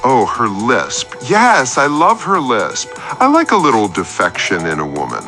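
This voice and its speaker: A man's voice: mid-range voice, wildly swooping pitch, measured pace, pushed and bright, faint music behind, exaggerated voice. An actor playing a needy, self-pitying man calling a radio show, with a confessional, theatrical and wordy storytelling manner. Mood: eager, fussy. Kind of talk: scripted comedic radio play monologue.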